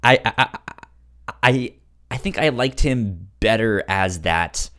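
A man's voice: on the low side, slow, fairly bright tone. A man co-hosting a podcast in casual chat, stammering and repeating words as he goes. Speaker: podcast co-host.

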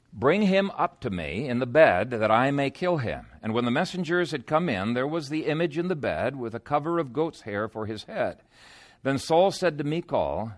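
A man's voice: medium pitch, dry recording, clean studio sound. A man preaching an expository sermon in a conversational, explanatory way, moving between scripture reading and informal asides.

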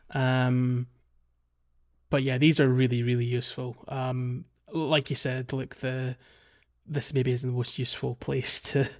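A man with a mid-pitched voice hosts a gaming podcast in casual conversation. He talks haltingly, starting phrases over and letting some trail off. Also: a little mellow. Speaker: podcast host.

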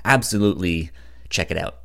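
A man's voice: sits low, a little fast, creaky, sharp tone. A man chatting casually in short, easygoing replies. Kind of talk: casual chat.

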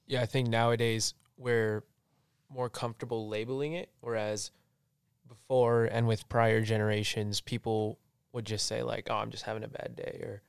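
A man's voice: on the low side, flat delivery, moderate pace, gravelly, clean tone, no echo, clean studio sound. A nineteen-year-old man chatting as a guest in a podcast discussion. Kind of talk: casual discussion.

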